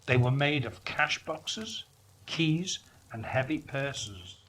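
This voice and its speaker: A man reading a story aloud as narrator, with deliberate, expressive phrasing and a dramatic storybook delivery. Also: medium pitch, a little hiss or hum. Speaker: story reader.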